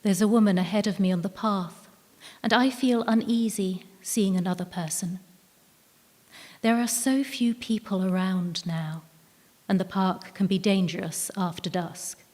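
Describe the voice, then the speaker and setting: A woman's voice: medium pitch, fairly even intonation, a little slow, darkish tone. Woman reading a story in an echoey hall. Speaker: reader of a short story. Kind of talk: literary story reading, first-person narration. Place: live event venue, indoors. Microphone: stage microphone. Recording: echoey hall at a live event.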